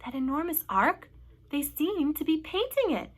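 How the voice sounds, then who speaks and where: A woman's voice: sits high, big pitch swings, moderate pace, pitched above the performer's own voice. A woman voicing an earnest, curious young boy in a children's storybook. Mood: hushed wonder.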